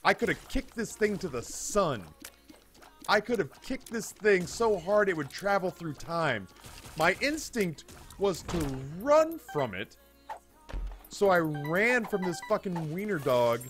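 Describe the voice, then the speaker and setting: A man, telling a comedic story casually in an animated, hyperbolic, profane way as a streamer. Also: sits high, takes it slowly. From United States. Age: 30s. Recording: music behind the voice. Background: background music.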